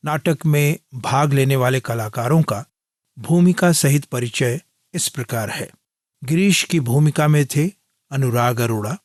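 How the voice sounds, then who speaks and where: A man announcing on radio, reading a scripted closing in a formal, measured manner. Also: mid-range voice, darkish tone, no room sound, studio quality.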